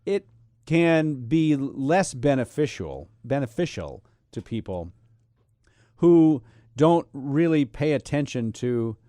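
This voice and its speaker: A man's voice: mid-range voice, big pitch swings, slow, dark tone, no echo, studio quality. A man co-hosting a casual finance chat, restating points and asking clarifying questions.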